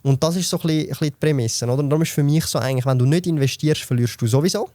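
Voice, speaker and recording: A Swiss German man in his thirties explains things in quick bursts, full of fillers and restarts. His pace runs very fast, and the close mic sounds dry, with no room around the voice. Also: a little high, mellow, dry recording. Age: 30s. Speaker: podcast guest, investor. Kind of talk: casual podcast chat.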